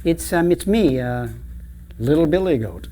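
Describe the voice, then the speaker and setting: A man's voice: on the high side, expressive, a little slow, mellow, a little hiss or hum, pitched above the performer's own voice. A man voicing a timid little billy goat in a told folk tale, hesitant, polite and pleading. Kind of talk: storytelling.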